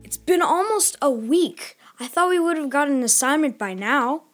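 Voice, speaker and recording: An actor playing an excitable, combative boy in a children's audio drama, with a brash, teasing manner. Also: high voice, moderate pace, faint music behind. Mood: impatient, eager. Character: excitable, combative boy eager for pirates and scrapes.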